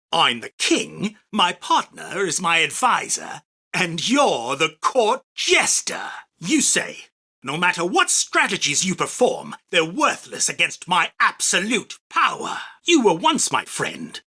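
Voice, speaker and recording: A man voicing an arrogant, theatrical, self-proclaimed king of card duels in an anime game, with a husky, forceful, declamatory delivery. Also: sits high, exaggerated voice. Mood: taunting, scornful, then stern. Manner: pompous, boastful, declamatory. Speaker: voice actor. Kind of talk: anime game character battle lines.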